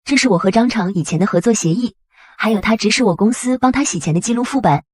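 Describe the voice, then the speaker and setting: A woman's voice: medium pitch, quick pace, a little mellow, slightly rough, no echo, studio quality, close to an everyday voice. A woman playing a shrewd, bitter and resolute businesswoman in an audio comic drama. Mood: knowing.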